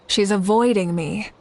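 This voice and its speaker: An actress playing a poised young woman in a video game, wry and composed with a teasing edge. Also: medium pitch. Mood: pensive.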